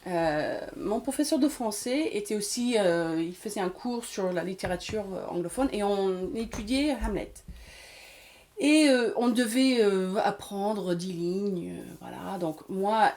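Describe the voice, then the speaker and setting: A woman's voice: mid-range voice, measured pace, a little mellow. A woman in her forties from Washington State, an English teacher and actress, telling her story in a casual interview with many hesitations. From Washington State, USA. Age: 40s.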